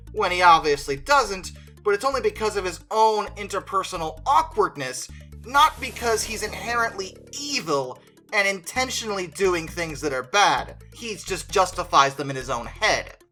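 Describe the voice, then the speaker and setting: A video essayist with a bright, forward voice in a middle register, talking conversationally through an opinionated film review. The delivery is emphatic and informal, with faint music underneath. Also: medium pitch. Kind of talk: opinionated movie review commentary.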